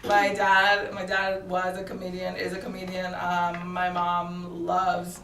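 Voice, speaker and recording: A woman's voice: on the low side, monotone, a little slow, a bit bright, echoey room, recorded from a distance, slight background noise. A woman raised in Japan, now a comedian and actor, chatting playfully as a guest in a studio interview and laughing often. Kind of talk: casual interview chat.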